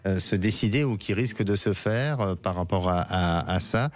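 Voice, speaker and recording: Man, radio psychic host, gentle, halting, lots of fillers, lowish voice, studio mic. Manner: hesitant, many "euh" fillers, gentle reassuring. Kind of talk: live call-in consultation.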